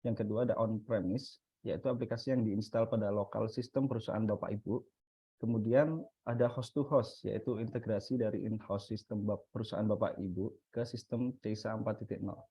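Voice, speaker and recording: A man's voice: fairly low pitch, steady tone, brisk, warm tone, breathy edge, no room sound. A man hosting a webinar in a semi-formal introductory style. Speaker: webinar host. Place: online webinar call. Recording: dull, lo-fi online call audio.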